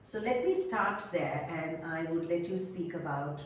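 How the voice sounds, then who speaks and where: A woman hosting an interview podcast, welcoming and a little halting. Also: low voice. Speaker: podcast host. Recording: roomy echo, a little distant from the mic, faint noise.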